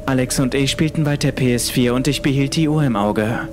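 A man's voice: medium pitch, a little fast. A man reading a scripted horror story as the narrator of an audiobook. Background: music.

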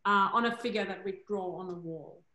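A woman's voice: medium pitch, a little fast, sharp tone. A woman co-facilitating an online workshop, explaining informally over a video call. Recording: echoey room on video call.

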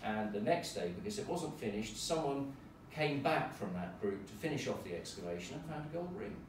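A man giving measured, opinionated remarks as a panel speaker. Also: medium pitch, animated. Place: lecture room, indoors. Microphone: far camera in the audience. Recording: echoey lecture room, distant mic, noisy.

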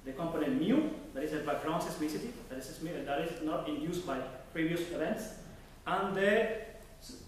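A young man giving an academic conference presentation, halting and searching for words, often restarting his sentences. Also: a little high, expressive. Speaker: conference speaker. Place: conference hall. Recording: echoey hall from far off with faint noise.

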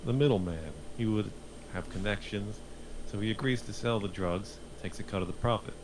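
A man's voice: fairly low pitch, normal speed, background noise, close to an everyday voice. A man playing a probing, formal police detective in a screenplay table read.

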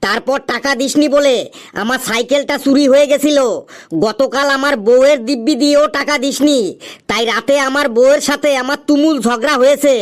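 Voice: high-pitched, steady tone, a little fast, natural voice. An actor playing a grumpy, stubborn tea stall keeper in a comedy cartoon, scolding and insistent. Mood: exasperated, stubborn.

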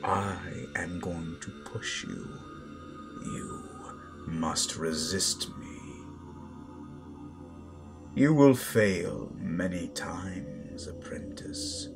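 A low, very slow male voice acted by a man of a menacing Sith master in an audio roleplay. He instructs with deliberate, controlled authority, often sinking into a breathy near-whisper. Music plays clearly behind him. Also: heavily acted. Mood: stern, commanding. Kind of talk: slow guided-meditation roleplay, breathy dramatic monologue. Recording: voice over loud background music.

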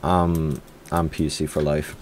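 A young man with a low, mumbly voice chatting casually while playing a game with a friend, soft-spoken and a bit flat. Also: takes it slowly, a little hiss or hum. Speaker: gaming friend. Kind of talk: casual gaming chat.

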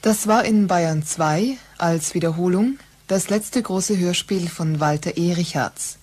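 Female radio announcer, scripted read, slightly deep register, husky tone. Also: unhurried, a little mellow.

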